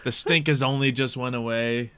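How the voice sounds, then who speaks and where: A man's voice: mid-range voice, steady tone, normal speed, crowd noise. A young man working as game master, narrating a tabletop roleplay in a casual, easygoing way and often chuckling.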